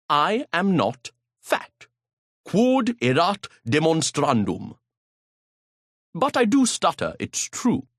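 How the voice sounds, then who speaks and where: A man's voice: medium pitch, no echo, studio quality. A man narrating an audiobook in the first person, pompous and grandiloquent, with an occasional stutter.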